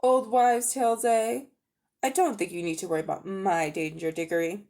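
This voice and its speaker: A woman's voice: mid-range voice, moderate pace, a little mellow, natural voice. A woman voicing a haughty, cold-hearted older magician uncle in a dramatic storybook reading, condescending and coolly menacing.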